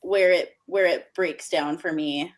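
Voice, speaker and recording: A woman speaking in a measured, reflective way as a panelist in a casual book discussion. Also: fairly low pitch, fairly even intonation.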